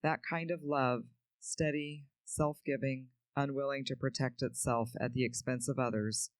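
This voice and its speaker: A man's voice: medium pitch, fairly even intonation, moderate pace, smooth, no room sound. Solemn man reading steadily, dry sound. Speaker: reader. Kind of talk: scripted dramatic reading.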